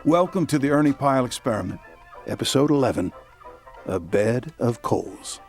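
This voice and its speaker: A man narrating a radio drama as a reflective storyteller, deliberate and literary in his phrasing. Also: medium pitch, lively intonation, darkish tone, close to an everyday voice. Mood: scene-setting. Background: music.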